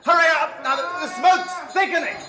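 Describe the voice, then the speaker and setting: Shouting man, panicked, very high strained pitch, echoey space, music behind, radio drama. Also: brisk. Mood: panicked, urgent. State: shouting, coughing.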